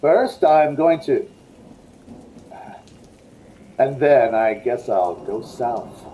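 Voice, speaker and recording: An actor playing an unrepentant, menacing escaped madman in old-time radio suspense style, speaking in a halting, deliberate way. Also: mid-range voice, animated, warm tone, slight background noise, poor audio. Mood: grim, resolved. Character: escaped insane male killer, brooding, unrepentant, menacing. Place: indoor room at home, on a video call.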